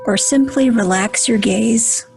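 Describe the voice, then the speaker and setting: A woman leading a guided meditation in a soft, breathy voice, gentle and soothing, with deliberate pauses. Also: on the high side, faint music behind.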